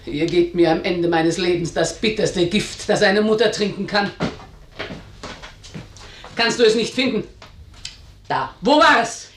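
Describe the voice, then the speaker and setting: A woman's voice: medium pitch, measured pace, pauses often, slight background noise, close to an everyday voice. Domineering mother of the house, played by a woman, commanding radio drama delivery, roomy and a little distant. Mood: furious, commanding. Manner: imperious, commanding, declamatory. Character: domineering, ageing matriarch, harsh and commanding tyrant mother. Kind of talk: German radio drama acting. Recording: roomy echo, slightly off-mic.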